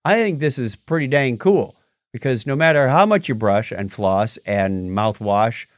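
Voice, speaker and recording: A man's voice: mid-range voice, no echo. A middle-aged man chatting through tech news as a podcast co-host. His voice swings up and down with animation, and he trips over tricky words. The sound is dry and close to the mic. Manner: animated, stumbles over hard words, does quick voices in quotes. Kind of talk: casual tech news chat.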